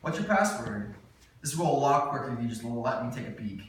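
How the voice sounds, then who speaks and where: A man's voice: mid-range voice, very animated, brisk, recorded from a distance, close to an everyday voice. A man reading a cocky young charmer, echoey room. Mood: smug teasing. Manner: smug, teasing. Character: cocky young male grad student James. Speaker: actor in a table read. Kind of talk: table read of a screenplay. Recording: echoey room, mic from afar, faint noise.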